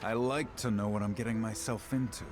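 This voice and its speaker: A man's voice: fairly low pitch, steady tone, a little fast, background noise, natural voice. A man playing a wary, terse swordsman bodyguard in a video game, guarded and dry. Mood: guarded, irritated. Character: wary, gruff swordsman bodyguard, adult male, on edge. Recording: music behind the voice.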